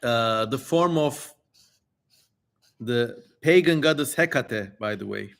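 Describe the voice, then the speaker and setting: A man's voice: medium pitch, expressive, takes it slowly, vocal fry, fairly bright tone. A man hosting a casual livestream, reading aloud and commenting in a wry, playfully theatrical way. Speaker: livestream host.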